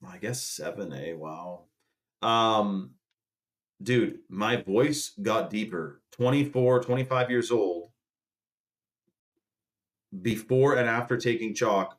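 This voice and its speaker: A man in his late twenties or early thirties, a channel host talking casually on a livestream while pitching a sponsor and saying dude and man a lot. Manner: chatty, salesy, says dude and man a lot.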